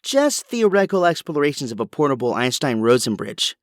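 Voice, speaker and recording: Man as animated, brainy young inventor hero. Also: medium pitch, a little fast, no echo, clean studio sound, lightly acted. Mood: puzzled, analytical. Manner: precise, technical, polite. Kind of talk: audio drama acting.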